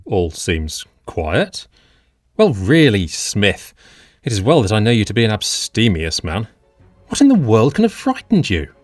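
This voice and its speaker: Audio drama: level-headed, avuncular Victorian friend played by a man, animated delivery, reasoning and doubtful, dry studio-like sound. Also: fairly low pitch, measured pace, dry recording, faint music behind, exaggerated voice. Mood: puzzled, curious. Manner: reasoning, expressive, formal period speech.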